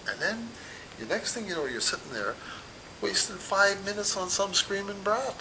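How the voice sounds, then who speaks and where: A man's voice: expressive, normal speed, gravelly, sharp tone. An older man in his sixties or above chatting casually in an interview, rambling and plain-spoken, repeating himself as he goes. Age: 60s or older. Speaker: mall Santa Claus being interviewed. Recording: rumbling noisy background.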